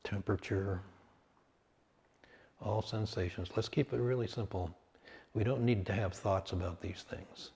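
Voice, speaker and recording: A man leading a guided meditation, speaking calmly and in measured short phrases with long pauses between them. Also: fairly low pitch, vocal fry.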